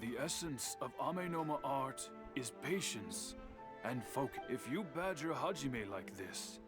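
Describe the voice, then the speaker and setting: A man's voice: medium pitch, animated, a little slow, music in the background, natural voice. A man playing a stern, dignified old master craftsman in an anime-style video game, speaking formally and admonishingly. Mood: stern, composed.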